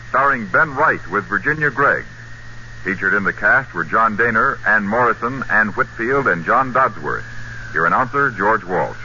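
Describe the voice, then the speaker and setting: A man's voice: on the low side, pushed and bright. A man announcing formal credits in a mid-century American radio style. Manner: formal, crisply declamatory. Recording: dull old radio recording with hum.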